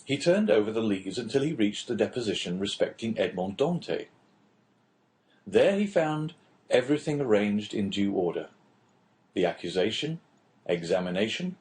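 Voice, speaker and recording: Male narrator, lowish pitch, measured, clear phrasing, scripted literary audiobook reading. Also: darkish tone.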